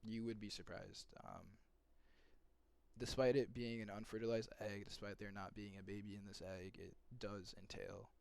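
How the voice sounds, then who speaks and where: A man's voice: lowish voice, little pitch movement, normal speed, vocal fry, a little mellow, clear, resonant tone, dry recording. A young man from Somalia hosting a casual call, hesitant and persuasive, full of ums and likes. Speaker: host. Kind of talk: casual debate on a video call.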